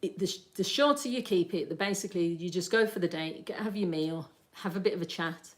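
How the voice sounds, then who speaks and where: A woman's voice: on the low side, fairly quick. A woman hosting a casual livestream, chatting at length and answering viewer comments with frequent laughter. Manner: chatty and rambling, laughs often. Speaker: livestream host.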